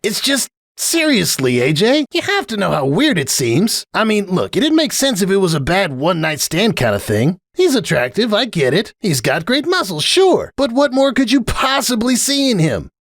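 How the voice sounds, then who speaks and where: Casting: man playing sulky young cartoon mare, high and swooping, scoffing and dramatic. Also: sing-song, normal speed, hardly any pauses, fairly bright tone, no room sound, clean studio sound, exaggerated voice. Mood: exasperated. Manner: scoffing, exclamatory, emphatic. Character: brash, jealous young female cartoon pony, sulky and dramatic. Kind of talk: dramatic fan fiction reading.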